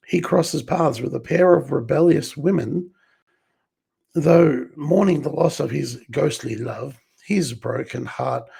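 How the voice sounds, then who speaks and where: A croaky man with a very gravelly, raspy voice, clearly a little under the weather. He talks slowly and clears his throat now and then as he walks through a disc collection, reading the back covers aloud. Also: fairly high pitch, darkish tone. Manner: slow, clears throat often. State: hoarse, a bit under the weather. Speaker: collector reviewing a film set.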